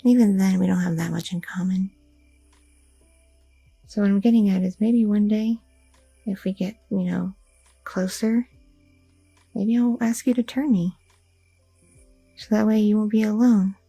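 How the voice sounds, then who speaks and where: A woman playing a husky, gravelly goth girlfriend. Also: medium pitch, fairly even intonation, unhurried, long pauses, no room sound, faint music behind, rough recording. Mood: tender, candid. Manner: soft, intimate, rambling with many fillers. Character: loving, chatty young goth girlfriend. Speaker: ASMR roleplay performer. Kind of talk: ASMR audio roleplay.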